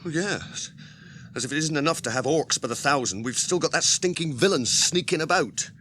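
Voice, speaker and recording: Loyal hobbit servant in a radio play, bright plain-spoken voice. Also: male voice, on the high side, animated, moderate pace, a little hiss or hum. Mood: earnest. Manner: homely, plain-spoken and earnest. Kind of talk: 1980s radio drama acting.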